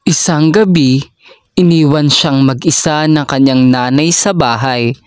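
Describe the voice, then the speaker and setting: A person reading a children's story aloud in a measured, deliberate storytelling manner. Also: mid-range voice, smooth, dry recording.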